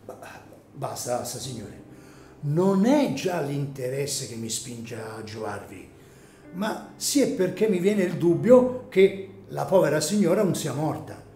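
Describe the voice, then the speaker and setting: A man's voice: a little high, big pitch swings, a little slow, warm tone, echoey room. Man acting an anxious cemetery caretaker over music. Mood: reluctant, yielding. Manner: agitated, hesitant, repeats words. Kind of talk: Italian radio drama acting.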